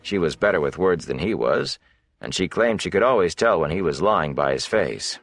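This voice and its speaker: A man narrates a fantasy audiobook in a very low voice with a gravelly creak. His scripted reading moves with lively, varied intonation. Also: moderate pace, dry recording, soft background music.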